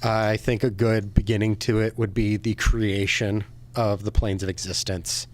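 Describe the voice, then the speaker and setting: A man's voice: fairly low pitch, little pitch movement, moderate pace. A man co-hosting a podcast, chatting casually about games and films. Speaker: podcast co-host.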